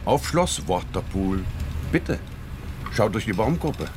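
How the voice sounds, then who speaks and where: A man playing a courteous, wry English gentleman husband in a German radio drama, urbane and fond of explaining. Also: fairly low pitch, big pitch swings, slow, background noise. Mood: dry.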